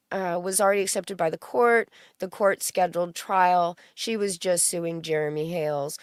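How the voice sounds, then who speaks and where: A woman hosting a casual commentary stream, speaking slowly in a lowish voice. She is chatty and sarcastic, and pauses to read legal text aloud. Her voice sounds dry and close, with no room echo. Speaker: commentary channel host.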